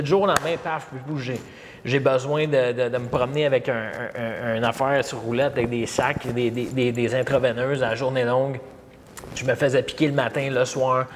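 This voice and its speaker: A Québécois man in his thirties talks as a podcast guest, hesitant and full of fillers and restarts. His mid-pitched voice carries a long reverb over a faint background hiss. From Montreal. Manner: halting, many fillers and repeats. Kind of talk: casual interview storytelling.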